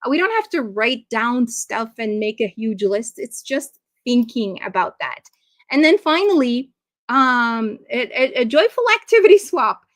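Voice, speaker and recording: A fairly high-voiced woman from Iraq gives a live webinar for parents over a computer mic. She talks in a conversational, encouraging way, pausing often on ums and uhs. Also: very animated. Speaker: webinar presenter.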